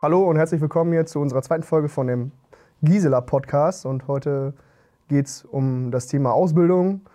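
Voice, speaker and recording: A man's voice: fairly high pitch, moderate pace, warm tone, soft background music. A young man hosting a casual podcast chat, speaking in a blunt, colloquial way. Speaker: podcast host.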